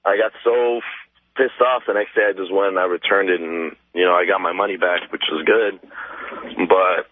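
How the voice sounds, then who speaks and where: A young male caller on a thin, dry phone line. He has a fairly low voice and rambles casually, putting himself down as he goes. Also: fairly even intonation, normal speed, no room sound. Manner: rambling, self-deprecating. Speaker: radio show caller. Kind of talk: casual storytelling. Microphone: telephone.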